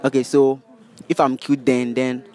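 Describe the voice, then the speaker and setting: A man's voice: medium pitch, little pitch movement, measured pace, darkish tone. A young man hosting an upbeat street interview on a university campus, holding a handheld mic and repeating answers back. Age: young adult. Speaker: host. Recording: noisy outdoor street recording.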